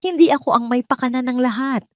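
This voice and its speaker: A woman's voice: sits high, fast talker, a little mellow, no room sound, clean studio sound, voice pushed up, lightly acted. A woman voicing a cornered, guilt-ridden businessman in an audio drama, tearful and pleading. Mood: desperate blaming. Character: cornered, guilt-ridden businessman sobbing and begging his wife.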